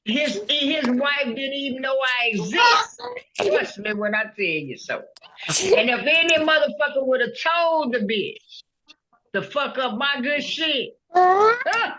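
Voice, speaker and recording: A woman's voice: sits high, very animated, slow pace, pushed and bright, a little hiss or hum, rough recording. Blunt, profane older woman. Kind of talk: casual monologue.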